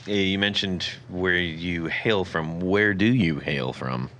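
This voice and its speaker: A man hosting a podcast interview, asking halting questions with fillers and friendly reactions. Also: deep voice, a bit bright. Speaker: podcast host. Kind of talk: casual interview chat.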